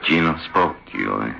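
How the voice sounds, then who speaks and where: Very deep, gravelly haunted ventriloquist. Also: male voice, bright, forceful tone, lo-fi sound, exaggerated voice. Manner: halting, broken phrases. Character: despairing, haunted male ventriloquist, anxious and halting. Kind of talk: 1960s horror radio drama acting. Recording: hissy, band-limited old radio recording.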